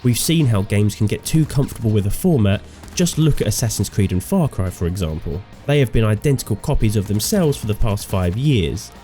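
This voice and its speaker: A man's voice: on the low side, lively intonation, fairly quick, dark tone, faint music behind. A man with a cold and a slightly nasal voice, reading a scripted, opinionated game review as host. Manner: opinionated, sardonic. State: sick, nasal voice. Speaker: gaming video host.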